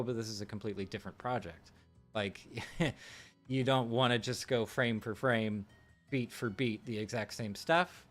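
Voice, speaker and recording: A man streaming live, talking through film technique in a casual, musing way with fillers and restarts. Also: fairly low pitch.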